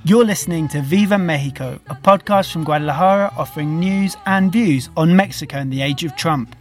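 A man's voice: on the high side, fairly quick, faint music behind. A man co-hosting a podcast, reading scripted news and culture narration. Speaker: podcast co-host.

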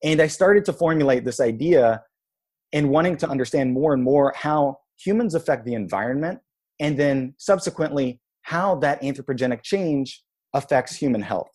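A man in his thirties from Israel, a guest telling his life story in a relaxed, reflective way, with many "like"s and "you know"s. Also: mid-range voice, normal speed. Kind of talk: casual storytelling interview.

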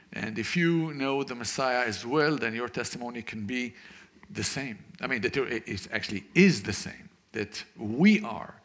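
A man from Israel with an Israeli accent speaks at a church as a guest preacher. His voice is bright and forceful, sitting at a middle pitch for a man. He talks earnestly, stopping often on fillers and starting sentences again. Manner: warm, earnest, halting with frequent fillers and restarts. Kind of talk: sermon.

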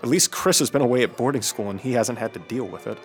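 A man's voice: mid-range voice, fast, some chatter behind, lightly acted. A man acting in a radio drama as a frustrated, bitter teenage son with a cynical, sardonic manner. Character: bitter, frustrated teenage son, high school senior age.

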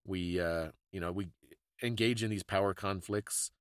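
A man's voice: low-pitched, fairly even intonation, normal speed, clear, resonant tone, dry recording, studio quality. A man co-hosting a podcast, casually discussing folklore and stories in a thoughtful, explanatory way. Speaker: podcast co-host.

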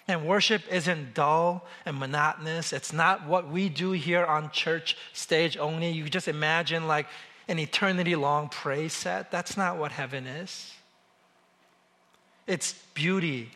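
A man in his forties, born in South Korea and raised in the US, preaching a measured, emphatic sermon that slows down over lists of words. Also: fairly high pitch, sharp tone. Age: 40s. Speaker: pastor.

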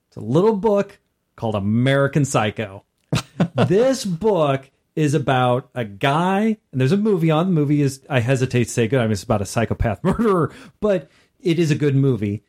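A man's voice: medium pitch, big pitch swings, normal speed, a little mellow. A man co-hosting a podcast, chatting casually and giving opinions in a blunt, emphatic, informal manner. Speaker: podcast co-host.

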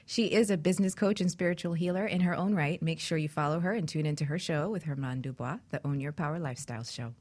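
A woman's voice: low voice, quick pace, few pauses, a little mellow. A woman co-hosting a radio talk show, interviewing casually in a playful, flirtatious way.

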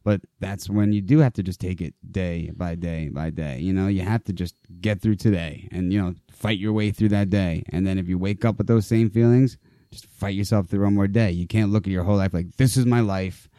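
A man's voice: low-pitched, steady tone, brisk, warm tone, no echo, clean studio sound. A man in his thirties co-hosting a podcast, talking in an earnest, encouraging way and leaning on filler phrases. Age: 30s. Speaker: podcast co-host. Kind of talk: casual podcast conversation.

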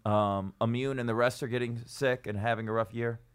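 A man's voice: medium pitch, steady tone, fairly quick, clean tone. A man hosting a podcast from a studio, joking and rambling sarcastically in casual comedic chat. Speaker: podcast host.

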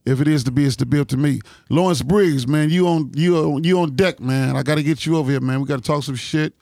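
A man with an American accent and a gravelly, husky voice, hosting a casual podcast with blunt, profane street slang. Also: on the high side, fast, darkish tone, dry recording, clean studio sound. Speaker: podcast host.

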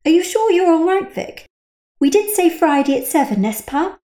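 A woman voicing an eager, fawning middle-aged mother who speaks high and emphatic. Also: big pitch swings, moderate pace, dark tone, reverberant.

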